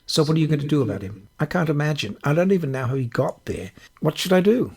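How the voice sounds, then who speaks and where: A man's voice: a little high, animated, fast talker, darkish tone, husky. A flustered young woman biker who fumes and frets, as a man voices her in a raised register. She complains hotly one moment and begs the next. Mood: baffled.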